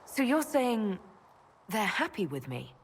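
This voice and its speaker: A woman's voice: medium pitch, big pitch swings, slow pace, husky, exaggerated voice. An actress playing an earnest young female elf mage in a fantasy game, guarded and questioning. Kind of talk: dramatic fantasy game acting.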